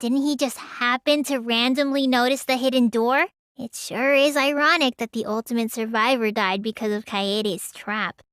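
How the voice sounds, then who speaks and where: A woman's voice: a little high, steady tone, moderate pace, hardly any pauses, a bit bright, smooth, no echo, clean studio sound, close to an everyday voice. A woman voicing a small, childlike teenage girl mage in an anime video game, earnest and pouty, stammering when flustered. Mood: pondering.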